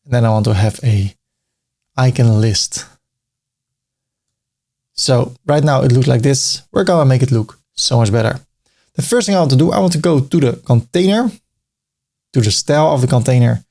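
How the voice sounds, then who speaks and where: A man's voice: mid-range voice, animated, moderate pace, long pauses, a little mellow. A middle-aged man with a Dutch accent walking through a web design tutorial step by step, casual and now and then joking. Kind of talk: screen-recorded tutorial narration.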